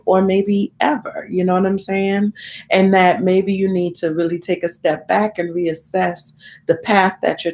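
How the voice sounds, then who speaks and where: A woman in her thirties with a congested cold, talking candidly and rambling to viewers on a live stream. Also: lowish voice. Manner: rambling, candid, swears casually. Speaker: vlogger hosting a live stream.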